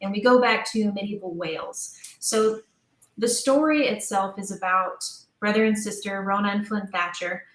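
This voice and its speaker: A woman talking as an interview guest about her creative work, enthusiastic, animated and emphatic. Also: medium pitch, normal speed. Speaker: guest, audio drama creator. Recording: roomy, echoing sound.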